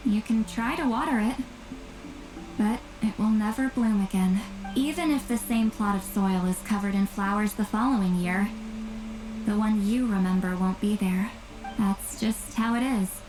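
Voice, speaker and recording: An actress playing a long-lived, intimidating but guarded shaman woman in a video game, halting and sighing, slightly tipsy. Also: mid-range voice, natural voice, poor audio. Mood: wistful, resigned. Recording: game dialogue under loud music.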